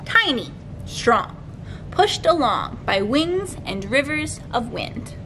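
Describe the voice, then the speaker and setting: Slow-paced young woman reading to children. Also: high-pitched, very animated, background noise. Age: young adult. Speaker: children's librarian leading storytime. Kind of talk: read-aloud picture book storytime for young children. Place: library room with bookshelves, indoors.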